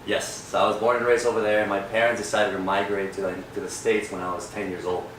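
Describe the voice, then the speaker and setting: A man's voice: on the low side, level tone, fast talker, a bit bright. A young man from Peru, a real estate agent guest, telling his story in an earnest, reflective way. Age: young adult. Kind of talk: casual interview. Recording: echoey room, far from mic, noisy.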